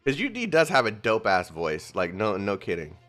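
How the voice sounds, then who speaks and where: A young man streaming a game, chatting casually with his viewers in an excitable, rambling way. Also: fairly low pitch, moderate pace, soft background music. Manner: excitable, rambling, talks to chat. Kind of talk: casual livestream commentary.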